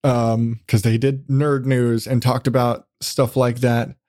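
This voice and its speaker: A man's voice: medium pitch, little pitch movement, slow pace, darkish tone, no room sound, clean studio sound. A man hosting a casual podcast interview, talking in a rambling, self-deprecating way with casual swearing. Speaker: podcast host.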